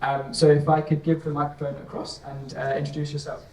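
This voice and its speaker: A man's voice: fairly high pitch, little pitch movement, fairly quick, a little mellow. Casual male moderator, echoey hall. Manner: easygoing, casual. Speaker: panel moderator. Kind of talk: moderating a conference panel. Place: conference stage. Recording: echoey hall, distant mic.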